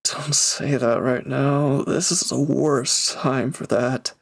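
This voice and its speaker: A man acting in an intimate audio drama as a gruff but caring reformed villain, earnest, halting and awkwardly affectionate. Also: medium pitch, fairly even intonation. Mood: flustered, torn.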